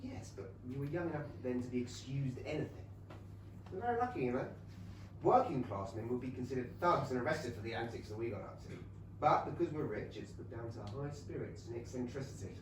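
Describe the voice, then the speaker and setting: Man acting young Regency gentleman, English accent, boyish enthusiasm, lively pitch, echoey stage. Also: a little fast, lightly acted. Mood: gushing, excited. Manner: eager, gushing. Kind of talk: staged reading of a comic play. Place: small theatre stage. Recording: roomy theatre, distant mic, faint noise.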